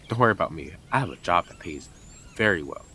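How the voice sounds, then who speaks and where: A man's voice: deep voice, animated, brisk, pushed and bright, a little hiss or hum. A man playing a blunt, sarcastic, teasing stalker who is devoted to the listener in an intimate roleplay audio drama. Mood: smug, mocking.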